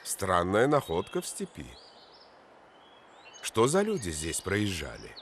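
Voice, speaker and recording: A man playing a wise, upright old steppe dweller in a cartoon, measured and stern. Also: deep voice, wildly swooping pitch, background noise, exaggerated voice. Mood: watchful, wary. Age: older. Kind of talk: cartoon voice acting.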